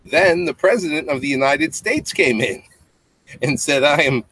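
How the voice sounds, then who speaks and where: A man's voice: on the high side, animated, normal speed, a bit bright. Middle-aged man reading bedtime stories, noisy recording. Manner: simple, explanatory asides to children. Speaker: storybook reader, parent. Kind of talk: reading a children's bedtime story aloud with casual asides. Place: small indoor home room with low tiled ceiling. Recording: clearly noisy home webcam audio with clicks.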